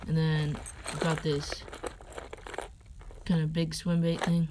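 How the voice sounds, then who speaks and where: Dude mumbling thru his fishing gear on his phone, pretty flat, kinda unsure, noisy background. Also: on the high side, slow pace. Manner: hesitant, rambling, unsure asides. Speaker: angler showing his tackle. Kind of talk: casual unscripted show-and-tell. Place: indoors, carpeted room at home. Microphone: phone camera held over the gear. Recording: phone recording with clear background noise.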